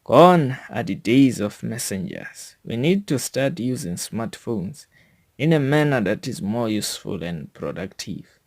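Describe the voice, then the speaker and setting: Slow, deliberate South African man. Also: medium pitch, sing-song. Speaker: podcast host. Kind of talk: scripted educational monologue, read out.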